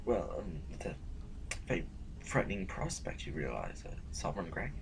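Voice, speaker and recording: A man's voice: low voice, very animated, moderate pace, gravelly, full character voice. A man playing a polite, anxious courtly official in an audio drama, formal, hesitant and deferential. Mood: uneasy. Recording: background noise and ambience.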